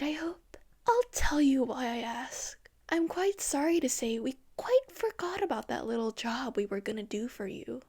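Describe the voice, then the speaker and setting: A woman's voice: high-pitched, a little mellow, full character voice. Courteous police officer on the phone, read by a woman. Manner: courteous, apologetic. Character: polite, casual male police officer on the phone.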